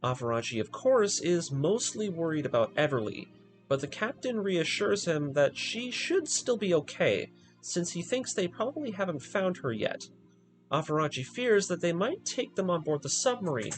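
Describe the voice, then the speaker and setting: Man with widely swinging, animated narration. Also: sits high, low-quality recording. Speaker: video essay host. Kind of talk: scripted story recap narration with opinionated asides.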